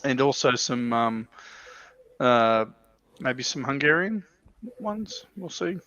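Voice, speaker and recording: A man co-hosting a casual livestream chat, speaking in a hesitant, halting way. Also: mid-range voice, animated, sharp tone, highs cut off. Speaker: co-host.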